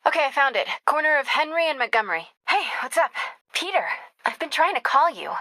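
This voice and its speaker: Bright-voiced young American woman reporter. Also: high-pitched, big pitch swings, dry recording, exaggerated voice. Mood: upbeat. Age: young adult. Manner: casual, quick-witted phone chatter. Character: brisk, teasing young woman newspaper reporter, warm and daring. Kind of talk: video game voice acting.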